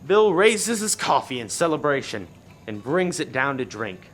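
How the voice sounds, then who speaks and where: A man narrating a scripted comic murder mystery as animated storytelling, with noise in the background. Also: mid-range voice, moderate pace, soft background music. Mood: dry storytelling. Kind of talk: scripted radio play narration.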